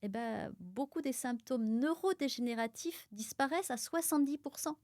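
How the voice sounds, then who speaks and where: A woman's voice: a little high, normal speed, no echo. Woman from auvergne, middle-aged, pharmacist guest, explanatory, filler-heavy, dry studio. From France (Auvergne). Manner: explanatory, lots of fillers, animated. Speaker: guest, pharmacist and micronutrition expert. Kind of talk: casual podcast conversation.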